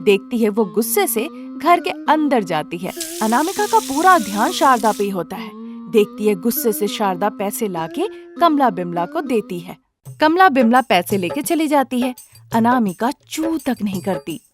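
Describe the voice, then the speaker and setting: A woman narrates an animated bedtime story in a high-pitched voice. The delivery is scripted storytelling, with faint music underneath. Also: moderate pace.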